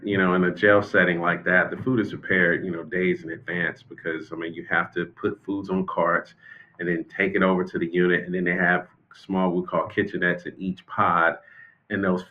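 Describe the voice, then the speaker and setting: An American man speaking as an expert interview guest and former prison official, explaining things in a measured, conversational way. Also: low-pitched, phone-quality sound. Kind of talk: unscripted interview commentary.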